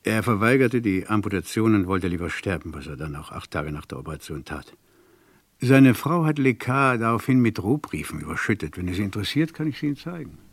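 An actor playing an opinionated hospital doctor in a German radio crime drama, recounting cases in an expansive, theatrical way. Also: male voice, lowish voice, big pitch swings, fairly quick, slightly rough, exaggerated voice. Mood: expansive, grave. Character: opinionated male hospital doctor recalling failed operations.

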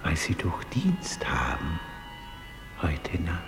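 An older man playing a timid, humble old porter in a vintage German radio play, halting and deferential. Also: deep voice, huge pitch swings, exaggerated voice. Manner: halting, deferential, breaking into whispers. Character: humble, timid old messenger, poor widower. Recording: echoey vintage radio play, long reverb. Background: music.

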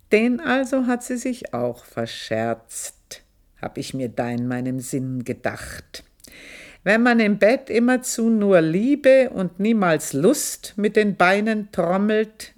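Slow, low-voiced maidservant. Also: female voice. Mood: wry, gloating. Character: passionate, scheming older maidservant confessing a past affair. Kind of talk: literary radio drama monologue.

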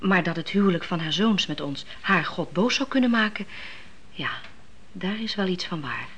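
An actress playing a wise, devout young wife in a biblical radio play, thoughtful, earnest and reassuring. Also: female voice, on the low side, lively intonation, normal speed, fairly bright tone, slight background noise. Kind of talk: Dutch radio play acting.

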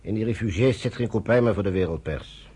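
A man's voice: lowish voice, fairly quick, mellow, breathy edge. An actor playing an authoritative British male general in a radio drama, speaking in a commanding, curt, dismissive way.

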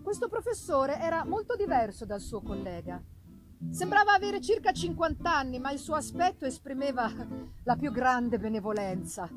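A woman with a high voice narrates a novel in a theatrical, deliberate manner. She pauses for effect between phrases, and live music plays clearly behind her. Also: expressive. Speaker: reader of a novel, narrating in first person. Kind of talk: dramatic literary narration, live performance. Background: live musical accompaniment.

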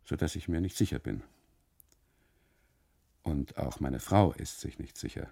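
An actor playing an anxious, obsessive husband on holiday in a literary radio monologue, speaking in halting fragments with insistent repetition and a gravelly, husky voice. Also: male voice, very deep voice, animated, mellow, dry recording, exaggerated voice. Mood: brooding, doubtful. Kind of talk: literary radio monologue, 1980s German radio drama.

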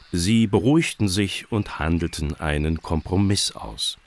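Male narrator, deep voice, scripted children's storytelling, radio play.

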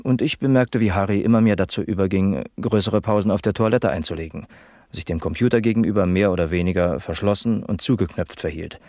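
A man's voice: sits low, a little fast, darkish tone, no echo, studio quality. An actor playing an earnest, literal-minded young male office apprentice in a satirical radio play, matter-of-fact and officious. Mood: earnest, reporting. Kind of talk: satirical radio drama acting as an interviewee.

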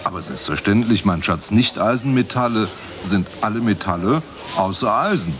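A man's voice: on the low side, animated, moderate pace, a crowd in the background, lightly acted. A man playing a self-important association president in a radio drama, answering an interview in a patronizing, lecturing tone. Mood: smug. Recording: clearly noisy background.

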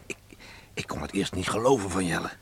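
An older man acting in a radio drama as a proud, worried farmer and father, speaking in a halting, folksy way. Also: sits low, expressive, vocal fry, slight background noise. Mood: troubled, hesitant. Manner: halting, folksy, addresses son in third person.

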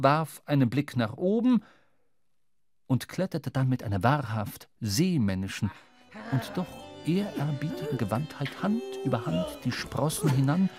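A man playing a reflective seafaring storyteller, narrating a radio play in a measured, literary way. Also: a little high, darkish tone, breathy edge, exaggerated voice.

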